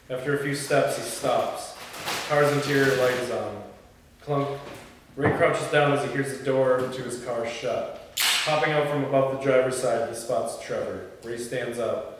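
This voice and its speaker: A man at a table read, moving between narrated stage directions and casual discussion. His voice is mid-pitched and picked up from a distance, with long reverb around it. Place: indoor room, reverberant, mic at a distance. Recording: echoey room from far off, faint noise.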